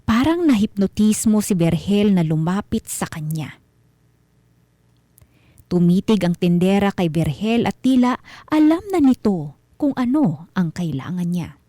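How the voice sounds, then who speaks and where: A Filipino woman narrates eerie tales as a radio storyteller. Her voice is mellow and very dry in a close studio sound, and she takes her time with deliberate, dramatic phrasing. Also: mid-range voice, very animated, no echo. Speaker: host and narrator of a radio mystery show. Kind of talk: scripted horror storytelling.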